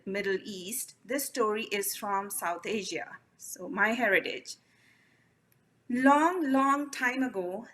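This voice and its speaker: A woman storyteller whose first language is Urdu, telling children's folk tales with lively expression. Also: a little high. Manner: animated, expressive, addresses the children. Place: library event.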